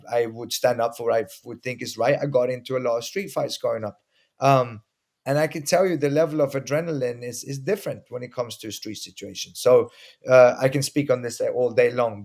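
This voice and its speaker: Earnest man from Sweden, mid-pitched. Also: a little mellow. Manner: earnest, explains with stories, frequent restarts. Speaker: guest, martial arts instructor. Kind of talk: casual interview talk.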